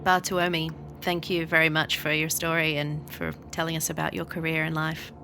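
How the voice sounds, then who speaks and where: Low-voiced woman host over music. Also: flat delivery, moderate pace. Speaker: podcast host. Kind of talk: interview hosting. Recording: music under the voice.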